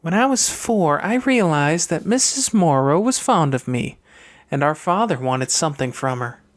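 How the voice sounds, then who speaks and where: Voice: medium pitch, expressive, normal speed, natural voice. A reader voicing a calculating young girl from a wealthy family in an audiobook, airy and pointed with a haughty disdain. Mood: confident, proud.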